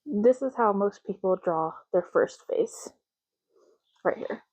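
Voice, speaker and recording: Soft, mellow woman doing ASMR roleplay. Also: mid-range voice, animated, husky, no room sound. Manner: hushed, unhurried, gently explanatory, sometimes slipping into whisper. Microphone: close microphone.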